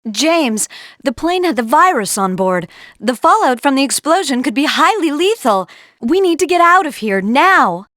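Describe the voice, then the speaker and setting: A woman's voice: sits high, sing-song, normal speed, fairly bright tone, no room sound, clean studio sound. A woman voicing an anxious, urgent ally in a spy video game. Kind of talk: dramatic video game dialogue.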